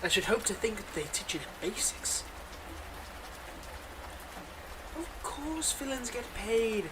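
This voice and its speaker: Supervillain, acted by a performer for audio roleplay: smug, mocking, playfully exasperated, mid-pitched, with clear background noise. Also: big pitch swings, normal speed, natural voice. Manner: mocking, dramatic, addresses an unheard hero listener. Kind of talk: scripted ASMR audio roleplay.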